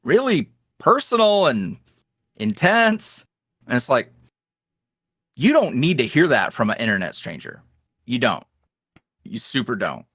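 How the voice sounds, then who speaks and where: A man talking candidly as an interview guest about his work as an audio creator, with quick self-deprecating asides. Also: mid-range voice, very animated, a bit bright, dry recording, clean studio sound. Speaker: guest, ASMR roleplay creator. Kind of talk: casual interview chat.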